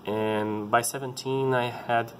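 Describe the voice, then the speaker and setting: A man in his thirties with a Brazilian Portuguese accent, speaking English as a second language, telling his story in a halting and earnest way, often restarting to find words. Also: mid-range voice, fairly even intonation, slight background noise. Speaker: parent sharing his experience. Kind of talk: casual personal vlog talk.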